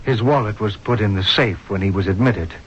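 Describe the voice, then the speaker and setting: A man's voice: lowish voice, fairly quick, a little hiss or hum, close to an everyday voice. An actor playing a grave, authoritative male hospital doctor in a 1970s radio drama, speaking emphatically. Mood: pressing. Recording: muffled vintage radio broadcast.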